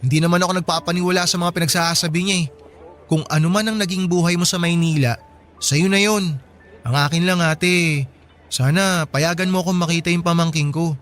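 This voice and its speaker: A man's voice: on the high side, steady tone, fairly quick, a bit bright, soft background music, natural voice. A man playing a loyal, friendly younger brother in a radio drama, eager and earnest in manner. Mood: earnest, reassuring.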